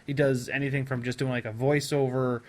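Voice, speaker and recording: A man's voice: medium pitch, level tone, a little fast, darkish tone. A man hosting a podcast in a casual, jokey, enthusiastic chat style. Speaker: podcast host.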